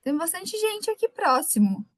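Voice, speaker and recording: A woman's voice: very high voice, very animated, moderate pace. A woman from southern Brazil with a light Gaúcho accent, hosting a live webinar from a computer mic and chatting with viewers. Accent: light Gaúcho Brazilian Portuguese. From Rio Grande do Sul, Brazil. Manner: chatty, warm greetings to viewers by name. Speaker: webinar speaker.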